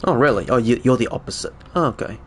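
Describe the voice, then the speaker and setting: A man's voice: mid-range voice, expressive, fast talker, faint music behind. A man whose first language is Cantonese, chatting casually with viewers on a game stream, rambling and correcting himself. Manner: rambling, self-correcting, chuckling. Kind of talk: casual live-stream chat.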